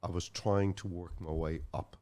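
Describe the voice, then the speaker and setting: A middle-aged Irish man with a Dublin accent talks in a very low voice. He rambles through his stories in casual interview chat, often restarting a phrase midway. His tone is low and unhurried. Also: fairly even intonation, darkish tone. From Ireland. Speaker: guest musician.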